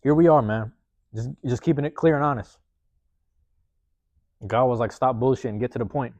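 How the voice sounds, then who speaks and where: Guy rambling motivational talk, street slang, kinda hoarse, dead dry sound. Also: medium pitch, moderate pace, darkish tone, no echo, highs cut off. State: voice going hoarse. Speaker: podcast host. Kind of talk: casual motivational monologue. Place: university room.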